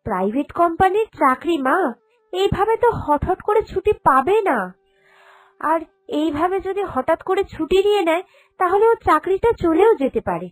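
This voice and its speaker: A woman's voice: very high-pitched, animated, moderate pace, rough recording. A woman voicing a sensible, caring young woman of the family in a cartoon drama, earnest and reassuring. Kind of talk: Bengali cartoon drama dubbing.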